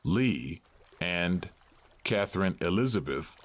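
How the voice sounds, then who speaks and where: Deep, slow male narrator. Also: expressive. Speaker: narrator reading stage directions. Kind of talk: scripted narration.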